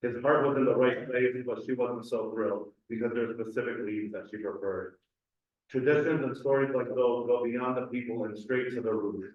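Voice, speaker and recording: A young adult man from Cleveland reading a personal essay aloud at a community gathering, earnest and measured. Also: mid-range voice, fairly even intonation. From Cleveland, USA. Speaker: community speaker. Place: community event hall. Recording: echoey hall, distant and dull mic.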